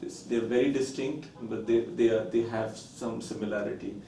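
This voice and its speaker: A man's voice: mid-range voice, fairly even intonation, normal speed, warm tone. A man from India whose first language is Konkani, a film director answering interview questions thoughtfully, with many fillers. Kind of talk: interview conversation. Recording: roomy, distant, faint noise.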